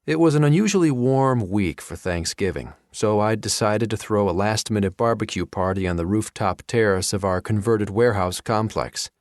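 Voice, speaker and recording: Casting: American male narrator for thriller fiction, expressive delivery, fairly low voice, very dry studio sound. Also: moderate pace, hardly any pauses, no room sound. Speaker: audiobook narrator. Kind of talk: scripted first-person thriller narration.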